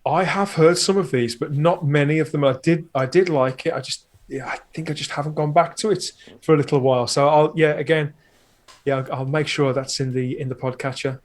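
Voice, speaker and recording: Male podcast guest, slightly higher-pitched voice, informal conversational delivery. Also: fairly even intonation, a little fast. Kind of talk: casual chat.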